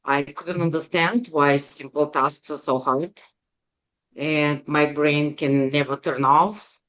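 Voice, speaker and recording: A woman in her forties with a Bulgarian accent, a guest telling her story in an interview, hesitant with many pauses. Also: low-pitched, fairly even intonation, a bit bright. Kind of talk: interview conversation.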